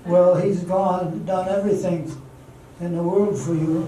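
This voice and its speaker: An amateur actor playing a loyal, earnest friend who acts as peacemaker in a radio drama. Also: male voice, high-pitched, steady tone, unhurried, dark tone, slight background noise. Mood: reproachful, uneasy. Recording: very echoey hall, distant mic, music behind.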